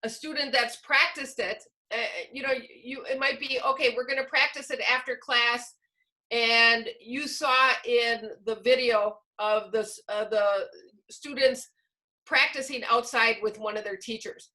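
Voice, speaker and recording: A woman's voice: fairly high pitch, fairly even intonation, a little slow, pushed and bright, poor audio. A woman presenting a teacher-training session, explaining informally with examples as she answers questions. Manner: explanatory, illustrates points with examples.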